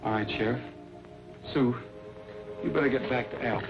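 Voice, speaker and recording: A man's voice: medium pitch, normal speed, music in the background, natural voice. Man acting a firm cowboy hero, muffled recording. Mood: earnest, steady. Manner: plain-spoken, firm. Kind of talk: old western film acting. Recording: muffled old film soundtrack, clear noise.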